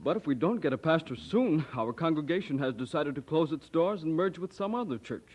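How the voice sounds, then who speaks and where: An actor playing a polite, worried church representative in a radio drama, measured and deferential. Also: male voice, on the high side, lively intonation, a little mellow. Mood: worried, pleading. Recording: dull old radio drama recording.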